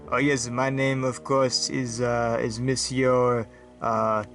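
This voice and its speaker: A man putting on a hushed, fake-german shifty man. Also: medium pitch, little pitch movement, slow pace, soft background music. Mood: evasive. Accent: put-on German. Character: shady man posing as a German-accented Frenchman. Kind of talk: comedic brickfilm voice acting.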